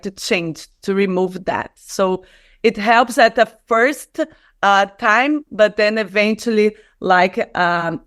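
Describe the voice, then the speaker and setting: Female, thirties, Brazilian accent, native Portuguese speaker, mid-range voice. Earnest researcher guest in a conversational interview. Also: mid-range voice. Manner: non-native English phrasing, earnest explaining. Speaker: guest, skin and stem cell researcher. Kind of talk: conversational interview, personal storytelling.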